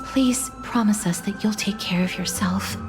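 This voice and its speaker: An actress playing a poised, gentle, courteous young woman in a video game, speaking formally and with measured care. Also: mid-range voice, over music, close to an everyday voice. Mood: caring. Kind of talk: scripted video game drama.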